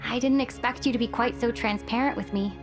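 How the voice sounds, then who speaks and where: A woman's voice: fairly high pitch, steady tone, brisk, over music, lightly acted. An actress playing a thoughtful young female traveler in a video game, speaking in a composed, earnest, reflective way. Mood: composed, sincere.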